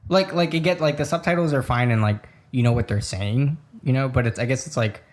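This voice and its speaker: A man co-hosting a movie review podcast in easygoing, joking casual chat, often agreeing with quick remarks. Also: mid-range voice. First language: not Korean. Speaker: podcast co-host.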